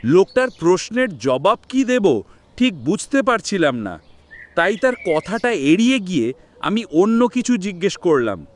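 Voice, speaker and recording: A man's voice: high voice, sing-song, measured pace. A man voicing an uneasy young nephew who narrates his own ghost story in a horror cartoon.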